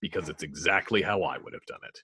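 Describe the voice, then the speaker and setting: A man playing a grim, guarded, battered superhero in a film-script table read, low and gritty, with terse, intense delivery. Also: fast talker, pushed and bright, slightly rough, no room sound, studio quality, heavily acted. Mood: urgent, stern. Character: grim guarded male vigilante superhero, battered and guilt-ridden.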